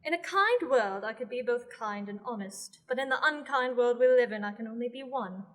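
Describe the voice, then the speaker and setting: A woman's voice: on the high side, lively intonation, a little fast, a bit bright. A young woman in a student radio drama, playing a witch who is bluntly honest and condescending with friends.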